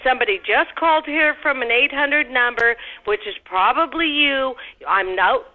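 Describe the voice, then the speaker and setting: Through a tinny, narrow phone line comes a middle-aged woman with a fairly high voice. She is blunt and combative, talking like an irritated person on a call. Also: normal speed. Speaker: prank call recipient.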